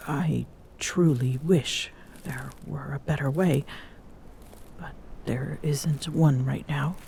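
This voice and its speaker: Give a very slow, dark-toned Amazonian queen, acted by a woman for an intimate ASMR roleplay. She is haughty yet smitten, murmuring formal endearments with coy hesitations in a soft, breathy voice that often sinks toward a whisper. A faint noise sits behind her. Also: sits low, steady tone, heavily acted. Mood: earnest, murmuring. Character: smitten, regal Amazonian warrior queen, teasing and possessive.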